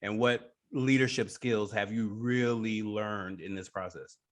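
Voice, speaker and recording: A man's voice: fairly low pitch, steady tone, measured pace. New York man hosting a radio interview.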